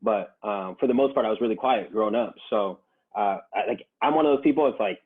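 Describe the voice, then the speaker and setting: A man's voice: on the low side, fairly quick. Laid-back young man on a tinny call. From Japan. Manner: laid-back, chatty, lots of fillers and laughs. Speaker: podcast guest. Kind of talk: casual podcast conversation. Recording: tinny phone-like line.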